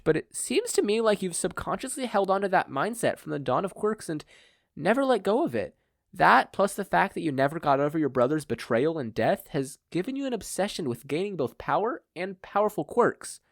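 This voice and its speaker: A man's voice: sits high, big pitch swings, moderate pace, creaky, no room sound, voice pushed up, exaggerated voice. A worried, timid mother as a male reader puts her on. The voice is lifted well above his own, hesitant and nervous, yet politely candid. It is a dry storybook-style recording. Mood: tentative.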